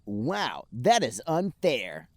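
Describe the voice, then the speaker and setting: A man's voice: medium pitch, wildly swooping pitch, drawn-out words, a little mellow, no room sound, pitched above the performer's own voice, full character voice. A man voicing a blunt, dim teenage cartoon hedgehog boy with stilted, exaggerated delivery in a comic fan fiction reading. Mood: cheerful, blunt.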